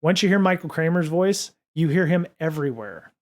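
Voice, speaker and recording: A man with a slightly high voice talks loosely to his livestream audience from a home studio desk microphone. He rambles, stacks up fillers and restarts his sentences often, easygoing and unscripted. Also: expressive, fairly quick, a little mellow. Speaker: podcast and livestream host. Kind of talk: casual livestream chat reading viewer comments.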